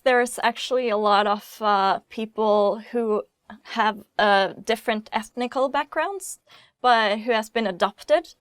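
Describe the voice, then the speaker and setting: A woman with a Norwegian accent hosting a language lesson, clearly sounding out phrases and casually explaining them. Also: on the high side, dry recording, clean studio sound.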